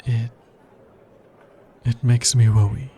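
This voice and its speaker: A man's voice: mid-range voice, little pitch movement, slow, dark tone, some chatter behind, a little hiss or hum, lightly acted. A man playing an anxious, smitten alien scientist in an intimate ASMR roleplay, with hesitant, earnest and precisely formal speech.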